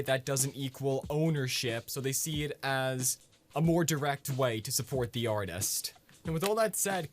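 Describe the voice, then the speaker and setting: A man's voice: medium pitch, slight background noise. A man hosting a scripted explainer vlog in a conversational, punchy way with casual asides. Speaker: video host.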